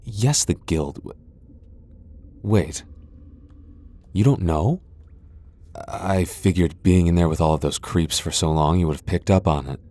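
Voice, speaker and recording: Man playing a low, sardonic vampire lord. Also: a little slow, long pauses, mellow. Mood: scornful, exasperated. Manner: dry, sarcastic, sighing. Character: proud, sardonic male vampire lord, cornered yet defiant. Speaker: voice actor in a roleplay audio. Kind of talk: ASMR fantasy roleplay acting.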